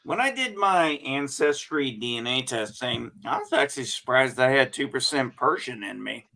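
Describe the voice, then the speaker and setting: A man chatting casually as a podcast co-host, rambling slowly through stories with many restarts. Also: medium pitch, lively intonation, vocal fry, bright, forceful tone. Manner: slow, rambling storyteller with restarts. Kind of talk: casual chat.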